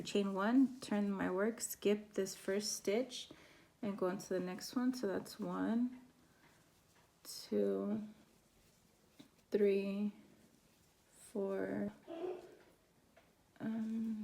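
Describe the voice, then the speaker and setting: A woman teaching a crochet pattern step by step, her voice raspy from a sore throat as she counts stitches aloud. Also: medium pitch, a little mellow. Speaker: crochet instructor. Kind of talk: step-by-step craft tutorial.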